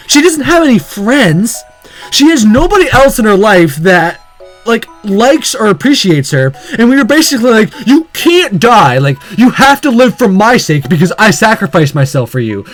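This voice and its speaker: A game video host giving casual, opinionated commentary in a blunt, exasperated, halting way. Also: medium pitch.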